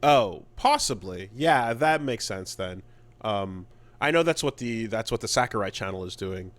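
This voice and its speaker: A man co-hosting a gaming podcast in casual chat, halting and repeating words as he thinks aloud. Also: on the low side, animated, fairly bright tone. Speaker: podcast co-host.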